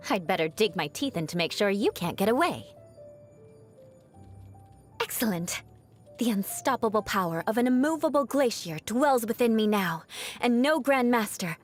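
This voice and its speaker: A woman's voice: medium pitch, close to an everyday voice. A young woman voicing a proud, haughty noblewoman knight in a video game, an outcast bent on revenge, with formal, dramatic diction. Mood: confident, vowing. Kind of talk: video game character voice lines.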